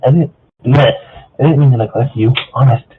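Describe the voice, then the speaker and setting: Man acting a timid female pony, worried, stammering, husky, muffled lo-fi audio. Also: moderate pace. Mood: worried, apologetic. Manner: hesitant, stammering, apologetic. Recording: muffled low-fidelity voice chat.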